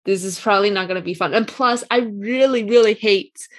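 A young woman, not a native English speaker, speaking quietly and calmly with some hesitation in a casual chat. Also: mid-range voice, fairly quick. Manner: quiet, composed, hesitant with fillers. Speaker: co-host.

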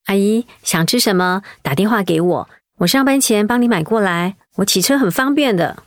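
Woman playing caring mother, middle-aged, radio drama, gentle, patient, mid pitch. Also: animated, moderate pace, no room sound, studio quality, lightly acted.

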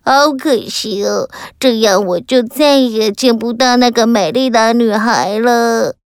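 A woman's voice: fairly high pitch, unhurried, clean tone, dry recording, studio quality. A woman voicing a brave, lovesick little male tin soldier toy in a children's audio story, speaking in an earnest, tender, plaintive way. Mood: forlorn.

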